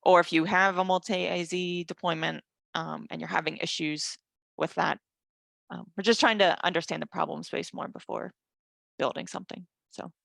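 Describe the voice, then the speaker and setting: A woman's voice: fairly low pitch, steady tone, moderate pace, creaky, a bit bright, dry recording. A woman giving an informal working group update on a video call, thinking aloud as she talks. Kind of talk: casual work meeting update.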